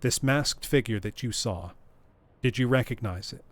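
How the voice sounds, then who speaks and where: A man voicing a composed, formal older male lawyer who reasons calmly, close to his own voice. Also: medium pitch, normal speed, lightly acted.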